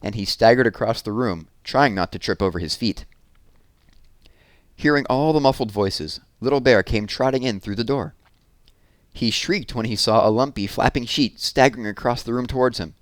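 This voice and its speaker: A man's voice: medium pitch, normal speed, no room sound, clean studio sound. A man reading storybooks aloud for children in a storytelling style. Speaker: storybook reader.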